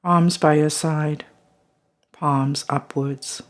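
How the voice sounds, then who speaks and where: A meditation guide leading a body scan, speaking slowly and softly and trailing into whispers at phrase ends. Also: medium pitch, steady tone.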